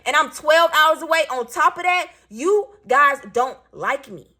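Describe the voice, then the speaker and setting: Raspy, blunt woman venting to camera. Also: high voice, lively intonation, a little slow, pushed and bright. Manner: blunt, profane, emphatic. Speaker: single parent vlogger.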